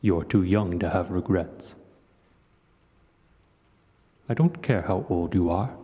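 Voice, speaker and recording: Man voicing a deep, ancient cavern dragon. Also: warm tone, lightly acted. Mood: musing, stern. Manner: slow, deliberate, musing. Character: ancient male dragon, huge, aloof yet hospitable, philosophical. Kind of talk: audio roleplay voice acting. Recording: cavernous echoey reverb.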